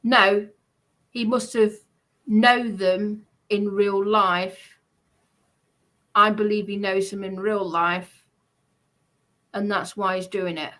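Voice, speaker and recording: A woman's voice: mid-range voice, fairly even intonation, takes it slowly, long pauses, a bit bright. A woman chatting casually and airing grievances, hesitant and repetitive but insistent. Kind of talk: casual chat, recounting personal grievances.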